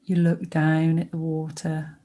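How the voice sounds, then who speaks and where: A woman guiding a sleep meditation with soothing narration, speaking gently and unhurriedly with pauses between short phrases. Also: sits low, monotone. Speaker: meditation guide.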